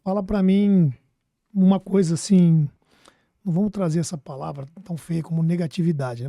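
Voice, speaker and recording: Brazilian podcast host guy, pretty high voice, dry sound, casual and informal questions. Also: mellow, no room sound. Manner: informal, colloquial. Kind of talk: casual interviewing.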